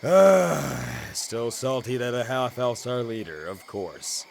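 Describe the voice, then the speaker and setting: A man's voice: medium pitch, big pitch swings, slow, gravelly, a little hiss or hum, exaggerated voice. A man playing a groggy, hard-drinking fantasy adventurer, blunt and sarcastic, in an audio drama. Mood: sardonic.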